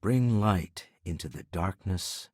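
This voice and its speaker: An older man playing a wealthy, pragmatic businessman in a video game, speaking in an articulate, wry, measured way. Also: low voice, a little mellow, no echo, clean studio sound. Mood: composed, urbane. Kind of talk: video game character dialogue.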